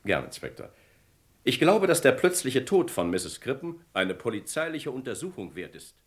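An actor playing a suspicious, insistent gentleman in a German crime radio play, formal and pointed. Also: mid-range voice, sing-song, normal speed, natural voice. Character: suspicious, insistent gentleman reporting a friend's death.

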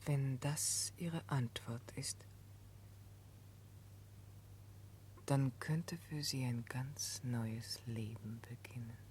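On a slightly noisy old radio recording, an earnest, compassionate woman. She speaks as a moral confidante, gently and deliberately, in a vintage drama style. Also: rumbling low, steady tone. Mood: quiet, searching. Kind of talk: 1960s German radio drama acting.

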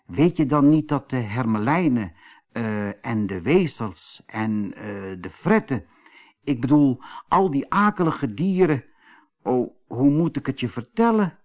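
A man's voice: medium pitch, animated, measured pace, darkish tone. A man voicing a caring, anxious male water rat in a storybook, earnest and fretful. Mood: hesitant, distressed.

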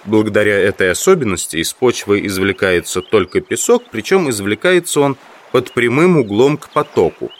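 A man narrating a literary audiobook in the first person, with measured, carefully phrased, expressive delivery. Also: lowish voice.

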